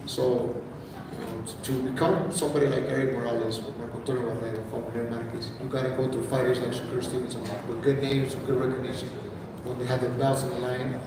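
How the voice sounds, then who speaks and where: A man's voice: mid-range voice, background noise. A male boxer answering questions earnestly at a press conference. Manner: earnest, repeats "you know". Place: large event room. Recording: echoey hall picked up from far off.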